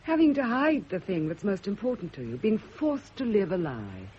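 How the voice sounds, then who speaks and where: A woman's voice: medium pitch, very animated, mellow, natural voice. An actress playing a fervent, lovesick woman who confesses hidden passion, in a theatrical classic radio drama style. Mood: earnest, probing. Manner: fervent, breathy pauses, theatrical intensity. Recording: dull old radio recording, faint hiss.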